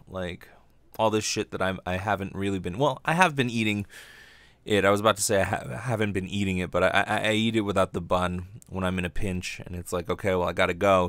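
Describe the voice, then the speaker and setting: A man with a low voice talks off the cuff on his podcast, recorded dry with very little room sound. He rambles casually, doubling back on his words in stammered false starts, and swears freely. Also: no room sound. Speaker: podcast host and aspiring voice actor.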